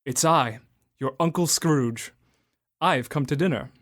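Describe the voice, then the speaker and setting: A man playing an old miser turned joyful and generous in an amateur radio drama, booming and theatrical. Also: a little slow, a bit bright, lightly acted. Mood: jovial.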